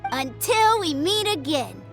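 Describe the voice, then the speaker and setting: High, lively little boy. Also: a little slow, soft background music, exaggerated voice. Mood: cheerful. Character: cheerful, innocent, friendly young boy. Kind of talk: video game voice acting.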